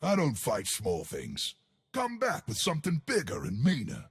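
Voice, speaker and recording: An actor voicing a huge, friendly, blustery stone gargoyle in a video game, with boastful, simple wording and a gravelly voice. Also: male voice, a little high, wildly swooping pitch, normal speed, full character voice. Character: huge friendly stone gargoyle colossus, blustery, dim, big-hearted protector. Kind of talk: video game character voice lines.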